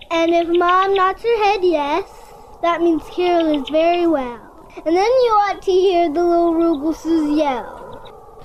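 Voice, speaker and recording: Someone playing a very high-voiced, earnest little girl. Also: muffled recording, a little hiss or hum. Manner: earnest, gently imploring, quaint old-fashioned phrasing. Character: sweet, earnest, eager young girl named Carol, frail and generous. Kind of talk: family audio drama acting.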